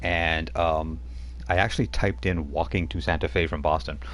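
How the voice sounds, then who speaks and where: A man's voice: low-pitched, steady tone, normal speed, fairly bright tone, a little hiss or hum. A man running a tabletop role-playing game as game master, chatty and rambling, laughing often as he talks.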